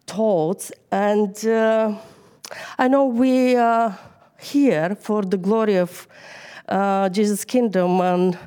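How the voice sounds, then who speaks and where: A woman with a Bulgarian accent speaks slowly and haltingly to a church group, full of fillers and restarts. Her voice is dark and mellow. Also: mid-range voice, steady tone, clean tone. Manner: hesitant, many fillers. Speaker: church member giving a talk. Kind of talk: informal sermon.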